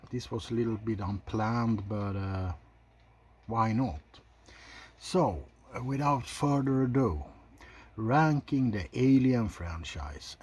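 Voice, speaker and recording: A man's voice: on the low side, a little mellow, slight background noise. A man hosting a casual ASMR video, giving blunt opinions in an informal commentary. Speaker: video host.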